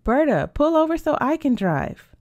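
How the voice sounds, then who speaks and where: Woman playing a teasing, animated teenage girl. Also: a little high, normal speed, no room sound, dull audio. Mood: lively, curious. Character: teenage girl heroine, playful, teasing, bold.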